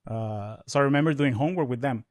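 A man in his thirties whose first language is Spanish, talking fast with many restarts as a guest in a casual podcast interview. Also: mid-range voice, no room sound. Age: 30s.

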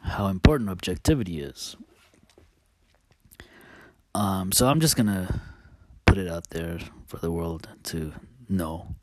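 A man talking casually as a host, telling personal stories in a halting, hesitant, confiding way. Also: low voice, darkish tone, no room sound.

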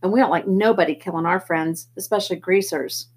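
A hostile teenage boy from a rival gang makes a threat, as a woman reading aloud voices him. The delivery stays near her plain, husky reading voice. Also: on the low side, fairly quick, darkish tone. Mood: threatening.

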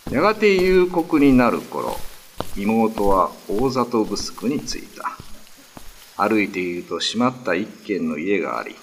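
A man's voice: fairly low pitch, very animated, slow pace, slight background noise, rough recording. A man narrating an old ghost story in a scripted, dramatic radio reading style.

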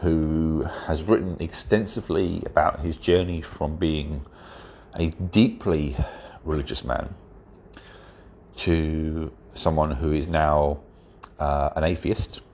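A man hosting his own podcast, congested and stuffy-nosed from hay fever, talking in a wry, measured way and a bit slowly. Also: extremely low pitch, steady tone, a little mellow, slight background noise. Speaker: podcast host. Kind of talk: solo podcast commentary.

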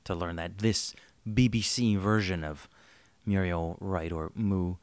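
A man's voice: low voice, steady tone, measured pace, darkish tone, smooth, no echo. A man co-hosting a podcast, chatting casually about a miniseries, rambling and joking with frequent laughs. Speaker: podcast co-host. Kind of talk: casual chat reviewing a miniseries.

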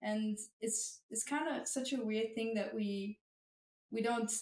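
A woman's voice: on the high side, fairly even intonation, takes it slowly, darkish tone. Hesitant woman host, roomy sound. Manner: hesitant, many restarts and fillers. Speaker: interviewer host. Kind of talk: casual interview chat. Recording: roomy echo.

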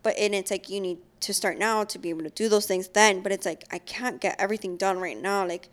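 A woman's voice: medium pitch, steady tone, fast talker. A woman in her twenties chatting casually with a friend, using lots of fillers and laughing easily. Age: 20s. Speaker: young mom in a casual chat. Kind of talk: casual chat.

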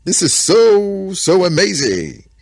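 Guy doing an excitable storybook gorilla, emphatic, amazed by everything, voice pushed way up. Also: sits high, slow pace, gravelly, slightly rough, no room sound, pitched above the performer's own voice, heavily acted. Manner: emphatic, exclamatory. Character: excitable, awestruck talking gorilla. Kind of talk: dramatic storybook character voice.

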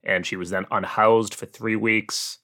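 A man hosting a commentary series, explaining things in a measured, opinionated way with some asides. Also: fairly low pitch, fairly even intonation, sharp tone. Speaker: host of a local politics series.

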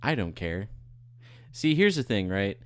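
A man's voice: lowish voice, sing-song, unhurried. Profane ranting man with an Oklahoma accent. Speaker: podcast co-host. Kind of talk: casual chat.

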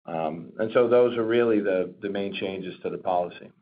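A man speaking as a company executive, answering questions on an earnings call in a halting, corporate way. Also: sits low. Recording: narrow, tinny phone-conference line.

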